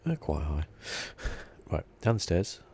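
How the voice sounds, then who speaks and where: A man giving relaxed ASMR game commentary as a channel host, with soft, hesitant, musing asides. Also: low voice, slow pace, slight background noise.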